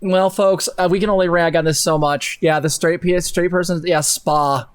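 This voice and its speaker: A woman's voice: on the low side, fairly even intonation, normal speed, smooth. A young woman co-hosting a casual livestream, sardonic and profane, leaning hard on words for emphasis. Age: young adult. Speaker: co-host of a livestream. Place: bedroom, indoors. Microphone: close desk microphone on a boom arm.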